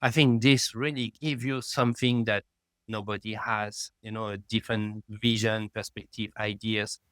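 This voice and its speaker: A middle-aged man, speaking as a guest in a remote interview, halting and peppered with "you know.". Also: on the low side, flat delivery, fairly bright tone, smooth, dry recording, clean studio sound. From France. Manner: halting, fills with "you know", rises in emphasis. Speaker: guest, lab founder.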